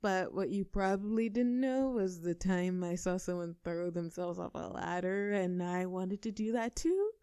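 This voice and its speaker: A woman's voice: on the low side, unhurried, few pauses, dark tone, clean tone, no echo, studio quality. A woman voicing a tough, brash young girlfriend in a scripted audio roleplay. She talks casually and cockily, swears freely and laughs between lines. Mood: boastful storytelling.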